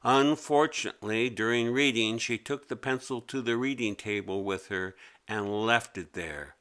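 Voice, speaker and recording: A man narrating a children's story as a read-aloud, expressive and deliberate. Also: medium pitch, clear, resonant tone.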